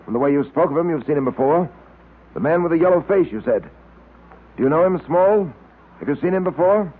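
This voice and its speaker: An actor playing a stern, insistent detective with a firm, probing manner in old-time radio drama. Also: male voice, on the high side, lively intonation, brisk, slightly rough. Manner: firm, interrogating. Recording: tinny, hissy old-time radio recording.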